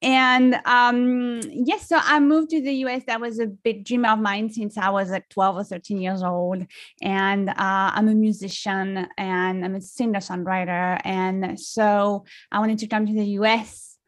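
A woman's voice: medium pitch, steady tone, measured pace, no echo, studio quality. A middle-aged woman with a French accent, chatting as a songwriter guest in an interview, with many fillers and restarts. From France. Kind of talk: casual interview chat.

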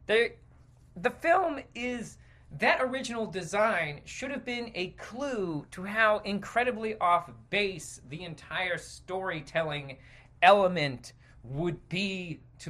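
A man's voice: high-pitched, fairly bright tone. A man hosting a podcast, delivering an exasperated, sarcastic, stream-of-consciousness rant with casual swearing. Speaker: podcast host.

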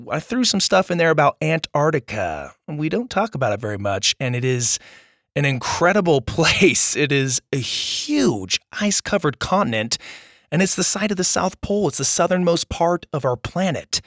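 A man's voice: on the high side, very animated, normal speed, fairly bright tone, no room sound, clean studio sound. A man hosting a children's podcast, chatting casually and enthusiastically to young listeners in a voice strained from nearly being lost. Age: adult, father of young children. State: strained voice, recovering from nearly losing it. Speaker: host and storyteller of a children's podcast.

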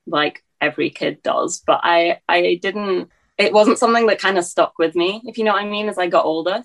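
A woman in her twenties with an English accent tinged with Scottish, answering interview questions hesitantly, with many fillers and restarts. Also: on the low side. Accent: English with a slight Scottish tinge. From England. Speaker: guest.

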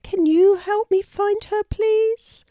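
A woman's voice: very high voice, fairly even intonation, slow, warm tone, dry recording, studio quality, pitched above the performer's own voice. A woman voicing a tiny, plaintive young female wallaby in a children's storybook, pleading like a lost child. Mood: sad, pleading.

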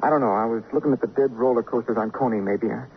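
An American actor playing an edgy, wounded cabinetmaker in a radio drama, earnest, halting and volatile. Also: male voice, mid-range voice, fairly even intonation, lightly acted. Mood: bitter. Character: edgy, wounded working-class male cabinetmaker, protective brother. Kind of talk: 1950s radio drama acting. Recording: muffled old radio recording with hiss.

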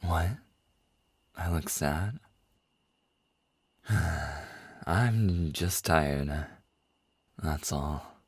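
A man acting a tender, lovestruck boss in an intimate boyfriend roleplay, speaking softly and hesitantly with a husky, breathy voice. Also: extremely low pitch, no room sound, exaggerated voice. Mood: weary, gentle. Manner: soft, hesitant, slow with long pauses. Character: tender, lovestruck male boss confessing to an employee. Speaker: audio roleplay performer.

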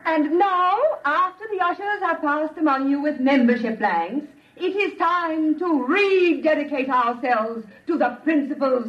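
An actress playing a zealous club leader making a grandiose, declamatory platform speech in a classic radio drama. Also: female voice, sits high, fairly bright tone. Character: zealous female club leader rallying a meeting. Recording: muffled vintage radio recording.

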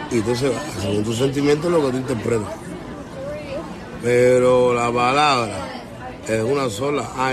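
A Cuban man riffing to his followers in a loose, joking rant, swearing freely and chuckling between lines. He's recorded outdoors on a city street, with a crowd of people clearly audible behind him. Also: mid-range voice. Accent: Cuban Spanish. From Cuba. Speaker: vlogger. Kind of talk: casual rant to viewers.

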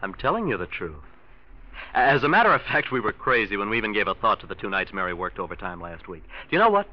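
Glib, nervy young schemer, low-voiced. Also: male voice, very animated, quick pace. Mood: smoothly evasive. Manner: glib, stammering when cornered. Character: scheming young nephew, smooth, nervy liar in debt. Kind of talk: 1940s-50s radio drama acting. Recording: dull vintage radio recording.

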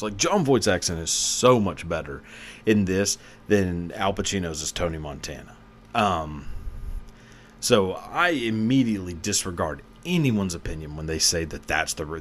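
A low-voiced man hosts a podcast alone and talks loosely and jokingly, with plenty of "um"s and swearing. A faint hiss sits behind his voice. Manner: rambling, joking, profane, with frequent fillers. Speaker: podcast host. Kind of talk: casual solo commentary.

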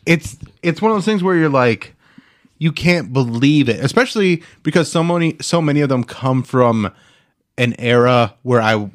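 Guy on a podcast, medium pitch, casual chat, says like all the time. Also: lively intonation, normal speed. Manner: frequent "like" as filler. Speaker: podcast co-host.

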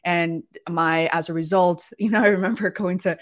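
A middle-aged woman hosting a live interview, enthusiastic and a bit halting with fillers. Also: on the low side, steady tone. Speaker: host.

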